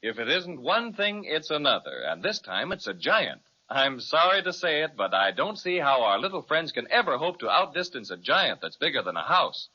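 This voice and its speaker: A man's voice: a little high, big pitch swings, normal speed, few pauses, husky. A man narrating a children's serial as its announcer, in a theatrical style. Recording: dull lo-fi audio.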